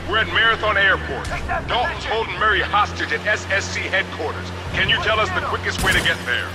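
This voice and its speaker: An actor playing a tough, brash male mercenary soldier in a video game cutscene, gruff and terse. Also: high voice, brisk, natural voice. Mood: urgent. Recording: game audio under loud music.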